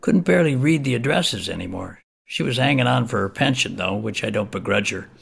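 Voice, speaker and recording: A shabby older neighbour in an audio comedy, with a deeply gravelly, husky voice. He rambles in a folksy, hesitant way, like someone confiding a long tale. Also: expressive, a little fast, a bit bright, heavily acted. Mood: chatty, confiding. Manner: folksy, hesitant, meandering storytelling. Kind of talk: comic stage monologue.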